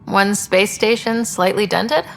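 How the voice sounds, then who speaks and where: An actress playing a calm, inquisitive young explorer heroine in a video game, speaking directly and asking questions. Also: female voice, mid-range voice, measured pace, gravelly, big-room sound, slight background noise. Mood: wry, sympathetic.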